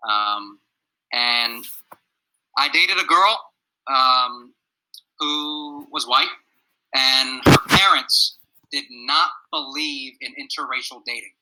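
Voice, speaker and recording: A man's voice: medium pitch, slow, pauses often, pushed and bright, slight background noise, rough recording. A young man, a middle school teacher, talking earnestly with his students on a video call and telling a personal story. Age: young adult. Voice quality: gruff. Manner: earnest, reflective, asks students questions.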